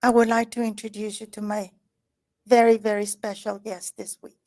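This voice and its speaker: A woman's voice: medium pitch, little pitch movement, moderate pace, a little mellow. A woman hosting a talk show who introduces her guest in an effusive, heartfelt way. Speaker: host.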